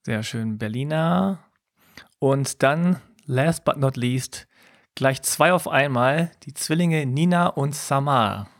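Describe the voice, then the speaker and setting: A man hosting a podcast group chat in a playful, lively, joking way. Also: mid-range voice, slow pace. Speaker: podcast host.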